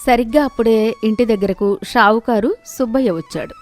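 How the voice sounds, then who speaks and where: A woman's voice: fairly high pitch, brisk, a little mellow. A woman reading scripted narration for a moral story as its storyteller.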